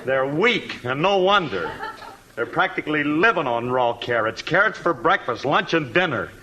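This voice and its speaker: A man's voice: fairly high pitch, animated, normal speed, pushed and bright. An actor playing an exasperated, sarcastic husband and father in a 1950s radio sitcom. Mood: defensive. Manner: griping, sarcastic delivery with malapropisms. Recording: noisy old 1950s radio recording.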